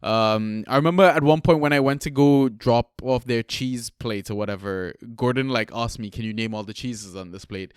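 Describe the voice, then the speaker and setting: Young man from trinidad, podcast co-host, loose chatty delivery, smooth voice, dry close mic. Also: medium pitch, normal speed, hardly any pauses, dry recording. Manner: laid-back, swears freely, lots of fillers. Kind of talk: casual chat, storytelling.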